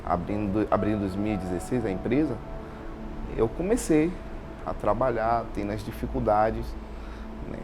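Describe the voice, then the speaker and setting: A man's voice: fairly low pitch, normal speed, long pauses, background noise. A man with a Bahian Brazilian accent telling his own story informally as an interview guest, repeating phrases and often adding tag questions. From Salvador, Bahia, Brazil. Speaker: interview guest, installation business owner. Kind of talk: informal personal account. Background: background music.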